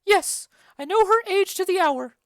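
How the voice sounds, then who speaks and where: A woman voicing a chattering, bawdy old female nurse in a dramatic reading of a play, rambling and loud. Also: extremely high pitch, expressive, unhurried, dry recording, studio quality, pitched above the performer's own voice, full character voice. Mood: gossipy. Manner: loud, rambling, giggly storytelling.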